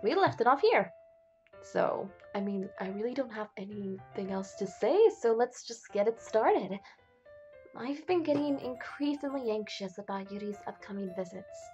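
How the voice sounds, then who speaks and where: A woman's voice: medium pitch, lively intonation, normal speed, darkish tone, lo-fi sound, soft background music. A young woman hosting a let's play, reading the game's text aloud and reacting casually, with frequent swearing. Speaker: gaming channel host.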